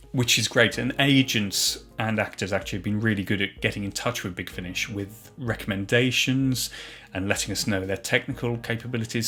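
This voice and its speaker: Male, lowish voice, reflective interview delivery from an audio production worker, faint music underneath. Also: sharp tone. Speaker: audio drama production staff.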